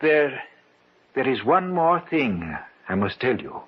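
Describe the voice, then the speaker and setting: An actor playing a solemn, mysterious foreign treasure seeker, speaking formally and with measured storytelling in an old radio drama. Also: male voice, mid-range voice, big pitch swings, husky, heavily acted. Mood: grave warning. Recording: muffled, hissy old radio recording.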